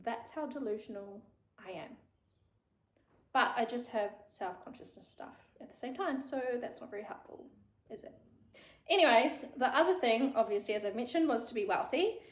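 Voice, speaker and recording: A woman's voice: a little high, animated, normal speed, roomy sound. A woman in her thirties with a New Zealand accent, chatting frankly and casually on her own show, swearing freely. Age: 30s. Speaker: podcast host. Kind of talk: casual solo chat.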